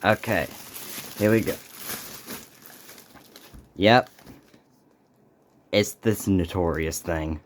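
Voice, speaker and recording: A man hosting a casual, unscripted unboxing video, speaking haltingly and muttering to himself as he goes. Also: sits low, slight background noise. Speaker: video host doing an unboxing.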